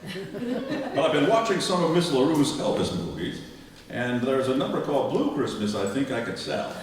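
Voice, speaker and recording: A man's voice: mid-range voice, very animated, normal speed, lightly acted. A man playing an old-fashioned, self-assured uncle with quaint formal phrasing in a live radio comedy. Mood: earnest, self-assured. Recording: echoey hall, distant mic.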